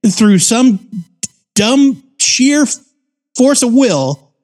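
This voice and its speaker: A man's voice: very high voice, sing-song, very slow, darkish tone. An American man in his forties giving casual, conversational commentary as a podcast co-host. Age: 40s. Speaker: podcast co-host reviewing comics.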